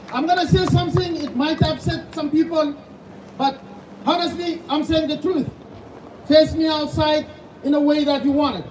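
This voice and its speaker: A Sudanese-accented man in his thirties delivers a passionate speech at an outdoor rally, his voice pitched very high and firing off rhetorical questions. He is heard from far away through echoing outdoor sound. Heavy noise surrounds him. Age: 30s. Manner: fervent, hammering rhetorical questions, at times shouting. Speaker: pastor speaking at a rally. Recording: distant, echoey outdoor sound with loud background noise.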